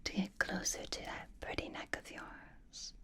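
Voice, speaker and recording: A woman's voice: unhurried, pushed and bright. A woman voicing a possessive, flirtatious vampire in an intimate, teasing whispered ASMR roleplay, with dry exasperation. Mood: teasing, seductive. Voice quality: breathy whisper. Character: possessive, flirtatious vampire doting on captive vampire hunter.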